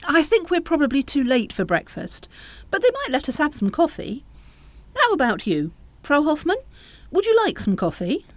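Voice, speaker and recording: An actress playing a practical, persuasive French mother of a grown daughter in a radio play, brisk and pressing. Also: high voice, wildly swooping pitch, no echo, exaggerated voice. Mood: brisk, polite.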